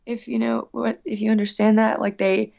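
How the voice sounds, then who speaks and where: Earnest lady musician chatting in an interview, thinking out loud, kinda dull lo-fi audio. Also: a little high, level tone, normal speed, vocal fry. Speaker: guest musician. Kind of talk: reflective casual interview talk. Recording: dull, lo-fi sound.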